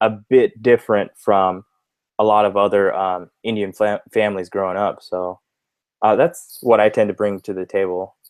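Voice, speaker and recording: A man from the United States answering interview questions casually as a guest, in a halting, hesitant way. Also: fairly low pitch, fairly even intonation, clear, resonant tone, no echo. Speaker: interview guest, stand-up comedian. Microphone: internet call.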